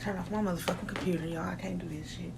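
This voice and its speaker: A woman's voice: fairly low pitch, steady tone, very fast, gravelly, heavy background noise. A woman hosting a reaction video, blunt and slangy in casual, sweary commentary.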